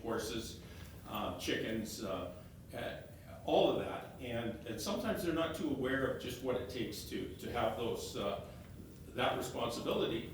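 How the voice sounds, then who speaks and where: A man, an elected councillor presenting slides to a meeting, halting and often repeating his words as he restarts phrases. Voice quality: loud voice. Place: meeting room, stepping back from a fixed mic. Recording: very echoey room, heard from a distance, faint rumble.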